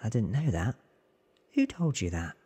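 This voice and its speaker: A man voicing a candid, careful, sickly young man in a hushed, earnest ASMR storybook reading. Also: fairly low pitch, sing-song, unhurried, full character voice. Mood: hesitant, curious. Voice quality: breathy.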